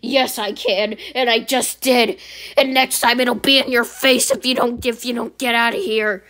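A woman voicing an angry male customer who speaks slowly and accuses sternly. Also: a little high, fairly even intonation, sharp tone.

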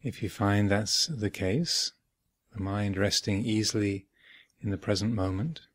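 A man giving guided meditation instruction as a teacher, speaking in measured short phrases with long pauses between them. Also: on the low side, dry recording. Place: retreat center, close dry recording.

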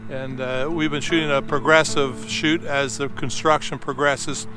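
A man's voice: medium pitch, measured pace, over music. An older man hosting an outdoor vlog, explaining his work casually with many "uh" fillers while holding an action camera at arm's length. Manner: relaxed, explanatory, with frequent "uh" fillers. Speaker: photographer and channel host. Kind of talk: casual on-site vlog narration. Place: outdoors at a warehouse construction site. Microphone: action camera held at arm's length.

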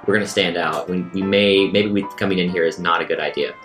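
A man with a very low voice improvises in character at a tabletop game. He talks quickly, in a roomy space. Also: fairly even intonation, a bit bright. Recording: roomy sound with faint music.

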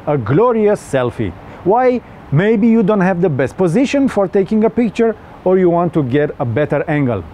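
A young man with a Romanian accent talks through a phone review in an earnest, slightly stilted way. His voice sits a little high yet sounds mellow. Faint street noise stays in the background. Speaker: tech reviewer.

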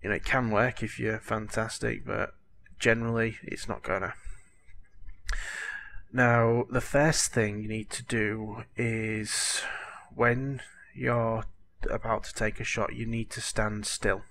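Low flat-voiced man with a cold. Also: takes it slowly, gravelly, a little hiss or hum. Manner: chatty, rambling, apologetic, lots of "you know". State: has a cold, voice cracking. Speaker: gaming tutorial host. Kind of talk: casual game tutorial voice-over.

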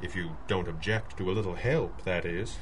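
A man's voice: low voice, normal speed, slight background noise, lightly acted. An actor playing a composed male masked superhero in a radio drama, speaking in a dry, teasing, articulate way. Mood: wry, reassuring. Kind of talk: old-time radio drama acting.

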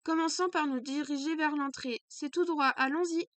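A woman's voice: high voice, fairly even intonation, moderate pace, a bit bright, no room sound. A woman voicing a jovial older man who runs a school, speaking theatrically.

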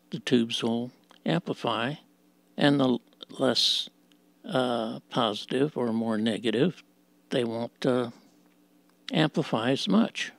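A man giving an informal technical walkthrough, explaining in short, halting phrases as a demonstration presenter. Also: medium pitch, vocal fry, no room sound. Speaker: presenter of a vintage radio demonstration.

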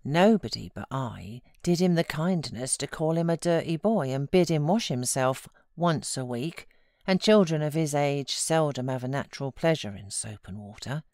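British woman narrating, lower-pitched and dry. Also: expressive, normal speed, a little mellow, dry recording. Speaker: audiobook narrator, professional voiceover artist. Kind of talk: expressive scripted narration of a classic novel.

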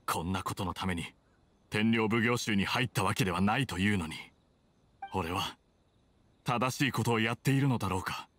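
An actor playing a stern, conflicted soldier with hidden kindness, speaking in a measured, blunt way in a video game dub. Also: male voice, lowish voice, fairly bright tone. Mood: troubled. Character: stern, conflicted male soldier, weary but secretly kind. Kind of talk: Japanese game dub acting.

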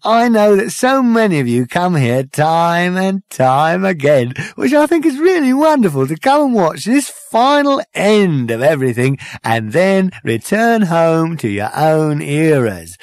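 A man's voice: a little high, big pitch swings, measured pace, few pauses, dry recording, studio quality, pitched above the performer's own voice. A man voicing a showy male cabaret compère with ironic, mocking showbiz patter. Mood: smarmy.

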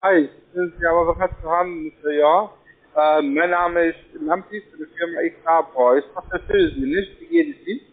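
A rather high-voiced man calls over a thin, tinny phone line to sell something. He is a non-native German speaker, pitching haltingly and checking that he is followed. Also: lively intonation. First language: not German. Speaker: cold-calling telephone salesman.

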